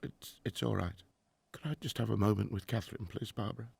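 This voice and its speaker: Deep, creaky-voiced grieving professor played by a man. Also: steady tone, a little mellow, no room sound, heavily acted. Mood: gentle, worried. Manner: formal, earnest, halting when troubled. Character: grieving, driven middle-aged professor and father, 1920s. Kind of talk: radio drama acting with diary narration.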